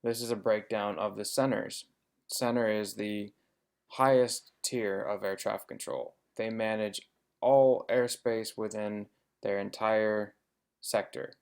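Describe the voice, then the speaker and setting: A man's voice: on the low side, steady tone, a little slow, gravelly, smooth. A man giving an informative slide presentation as an air traffic controller, explaining things plainly with touches of dry humour.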